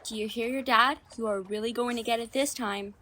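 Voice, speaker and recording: A woman's voice: on the high side, steady tone, a little fast, pushed and bright. A woman playing a bitter, vain mother in a radio drama, with a snippy, theatrical delivery. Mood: alarmed.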